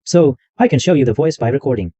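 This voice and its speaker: A man hosting a screen-recorded software tutorial, narrating every click step by step in loose, repetitive wording. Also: mid-range voice, expressive, fast talker, breathy edge, dry recording. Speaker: tutorial host. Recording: dull lo-fi dry mic sound.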